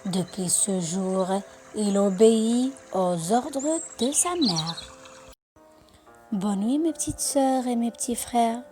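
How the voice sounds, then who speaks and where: Female storyteller, slow deliberate pace, expressive children's narration, medium pitch, soft music behind. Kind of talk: scripted bedtime story narration.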